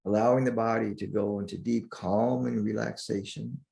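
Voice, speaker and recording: A man guiding a sleep meditation in a soothing, deliberate manner, leaving long pauses between short phrases. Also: on the low side, steady tone, creaky, mellow. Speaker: meditation guide.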